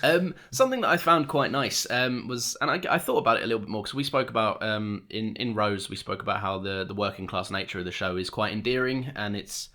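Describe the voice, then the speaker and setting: A man's voice: fairly low pitch, brisk, few pauses. A man co-hosting a casual podcast chat, joking and laughing often. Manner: animated, laughs often, jokey. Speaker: podcast co-host.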